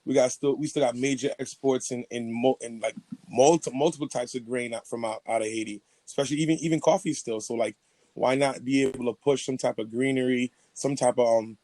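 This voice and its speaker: Young male podcast co-host, rambling and playful, medium pitch, dry sound. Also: steady tone, a little fast, mellow, no echo. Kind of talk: casual chat.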